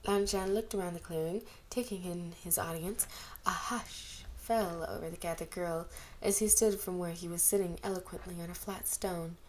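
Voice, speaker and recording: A woman's voice: fairly low pitch, steady tone, normal speed, a little mellow, a little hiss or hum. A woman narrating a piece of fan fiction as an amateur reader, occasionally stumbling over the text.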